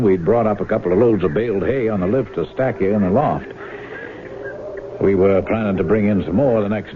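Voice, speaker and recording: A man acting a stoic, plain-spoken farmhand and older brother in a 1970s radio drama, halting and guarded. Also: sits low. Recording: noisy phone-like old radio broadcast.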